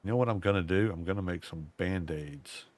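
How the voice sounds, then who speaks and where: Low-voiced man muttering gameplay. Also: a little fast, a little mellow. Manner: muttering to himself, drawling, self-mocking. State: voice going hoarse. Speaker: gaming streamer. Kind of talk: casual gameplay commentary.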